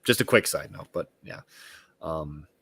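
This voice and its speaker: A man's voice: a bass voice, expressive, unhurried, creaky, a bit bright, husky. A man co-hosting a casual book discussion, adding informed, precise side notes on details. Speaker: podcast co-host.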